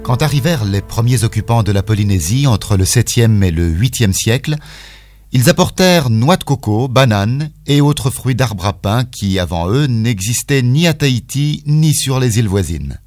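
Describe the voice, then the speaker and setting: A male reporter reading scripted documentary narration in a measured, even way. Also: mid-range voice, no echo, studio quality, soft background music.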